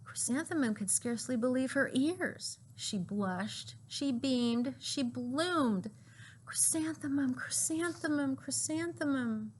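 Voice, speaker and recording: A woman reading a children's storybook aloud in an animated, deliberate way for young listeners. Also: fairly high pitch, slight background noise.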